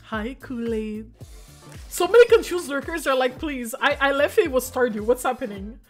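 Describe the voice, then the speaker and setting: A woman with a high voice comments casually on a video during a live stream. Faint music plays along as she reacts and chats. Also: lively intonation, moderate pace.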